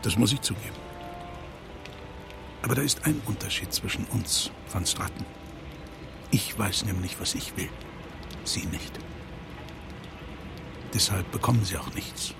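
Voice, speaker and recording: An actor playing a menacing rich older man in a German radio drama, deliberate, sardonic and coldly superior. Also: sits low, creaky, music in the background. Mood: mocking menace.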